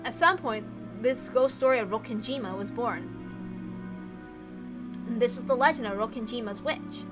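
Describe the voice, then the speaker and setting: High-voiced woman narrating over music. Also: moderate pace, long pauses. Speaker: reader of a visual novel. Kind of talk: expressive scripted narration. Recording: music playing behind the voice. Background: background music.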